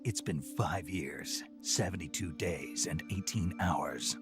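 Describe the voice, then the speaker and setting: An actor playing a gruff, sardonic male soldier on a covert mission in a video game, with a gravelly voice. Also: low-pitched, slow. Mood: wounded, subdued. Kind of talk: dramatic video game voice acting. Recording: music behind.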